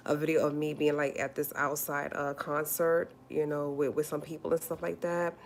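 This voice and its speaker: A forty-year-old woman talks straight to her livestream viewers. Her voice sits very low, and she hammers her points home by repeating them. She calls listeners honey as she goes. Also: slight background noise. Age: 40s. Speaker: livestream host. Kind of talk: casual livestream talk.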